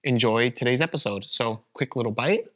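A man's voice: medium pitch, moderate pace, a bit bright. A young man from Canada, whose first language is Vietnamese, co-hosting a casual podcast chat and thinking aloud with fillers. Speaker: podcast co-host.

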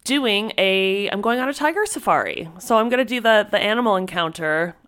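A woman's voice: medium pitch, expressive, fairly quick, a bit bright, smooth. Chatty woman getting over a cold. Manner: chatty, laughs often, restarts sentences. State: stuffy, slightly raspy from a cold. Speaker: podcast co-host. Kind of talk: casual chat.

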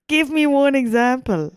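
A woman's voice: high-pitched, expressive, slow pace, no room sound, clean studio sound. A woman with an Irish accent co-hosting a podcast in casual chat over drinks, playful, giggly and sweary. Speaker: podcast co-host.

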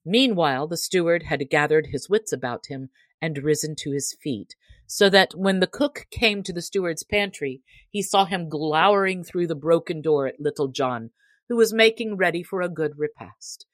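Female storybook narrator, low register, measured and expressive, very dry recording. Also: no room sound. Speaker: audiobook narrator.

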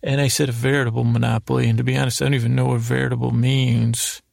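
A man hosting a bedtime podcast, rambling haltingly through pointless, silly asides in a creaky, gravelly voice meant to lull listeners to sleep. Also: medium pitch, flat delivery, darkish tone, dry recording, studio quality. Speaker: podcast host.

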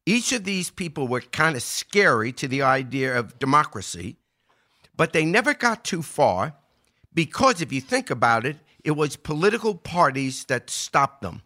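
An older American man delivers conversational political commentary in a bright, forceful voice. He explains in an emphatic way, posing rhetorical questions and building his argument point by point. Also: on the high side, big pitch swings, moderate pace. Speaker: podcast host giving political commentary.

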